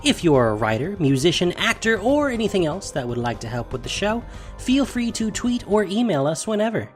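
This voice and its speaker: A man's voice: sits high, sing-song, a little fast, faint music behind. A man reading scripted closing credits as the announcer of a podcast. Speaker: outro announcer.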